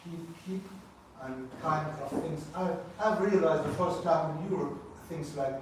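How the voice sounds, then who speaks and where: A man from Afghanistan, speaking English as a second language, comments from the audience at a panel in a halting way. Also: on the high side. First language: not English. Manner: halting, searching for words. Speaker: audience member. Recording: echoey room, distant mic, faint noise.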